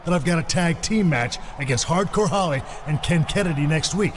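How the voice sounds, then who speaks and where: An actor playing a confident, sarcastic wrestling champion in a video game cutscene. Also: male voice, a little high, lively intonation, fairly quick, noisy background, natural voice. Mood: cocky. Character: cocky male wrestling champion, quick with comebacks.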